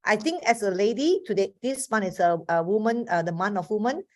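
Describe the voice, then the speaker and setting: A woman's voice: medium pitch, lively intonation, fairly quick, darkish tone. Malaysian woman in her fifties, earnest. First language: Chinese. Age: 50s. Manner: earnest, restarts often. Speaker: webinar speaker. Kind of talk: motivational talk. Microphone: video call.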